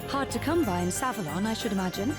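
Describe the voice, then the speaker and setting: A woman's voice: mid-range voice, lively intonation, fast talker, lightly acted. An actress playing a refined, observant young noblewoman in a video game, speaking with polite, formal precision. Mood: reflective. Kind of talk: video game cutscene acting. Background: background music.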